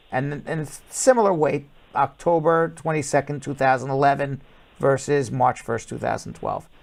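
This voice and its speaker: Gravelly, blunt call-in show host. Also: male voice, a little high. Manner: brisk, blunt, matter-of-fact advice. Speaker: immigration lawyer hosting a call-in show. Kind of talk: live call-in advice show.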